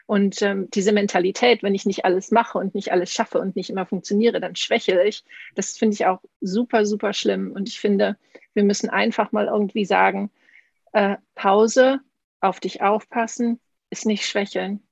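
A woman's voice: medium pitch, fairly even intonation, moderate pace, creaky, breathy edge, no echo. Woman from Münsterland, podcast co-host, reflective storytelling, frequent fillers, dry dull recording. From Münsterland, Germany. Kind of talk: casual conversational interview.

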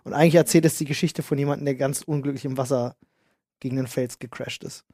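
A man's voice: mid-range voice, steady tone, fast, mellow, dry recording. A young man in casual, joking podcast banter, slangy with bits of English thrown in. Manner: slangy, mixes in English words. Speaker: podcast host.

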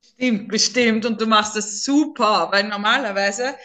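A chatty woman from near Vienna co-hosts a casual podcast in a somewhat high voice. Her German has an eastern Austrian, lightly Viennese accent. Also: a little slow, sharp tone. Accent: eastern Austrian German, slightly Viennese. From Lower Austria, near Vienna. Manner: talkative, chatty. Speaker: podcast co-host.

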